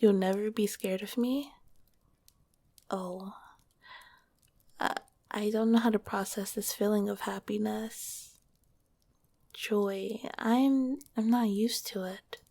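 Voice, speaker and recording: A soft, breathy whisper of a kind slime monster girl, as a woman plays her in an ASMR roleplay. The tone is mellow and dark, with a gravelly edge. She speaks gently and hesitantly, right up at the microphone. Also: medium pitch, fairly even intonation, slow, pauses often. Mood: shy. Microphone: close microphone.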